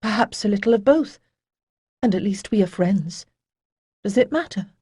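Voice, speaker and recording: A woman voicing a calm young bride-to-be who speaks softly but firmly. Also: medium pitch, moderate pace, slightly rough, dry recording, clean studio sound, pitched above the performer's own voice. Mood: gentle.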